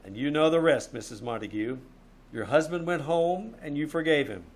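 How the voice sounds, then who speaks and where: A man's voice: fairly high pitch, normal speed, close to an everyday voice. A man playing a wry, hard-boiled private detective with a dry, sardonic delivery in a live radio drama. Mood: wry report. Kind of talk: live old-time radio drama acting.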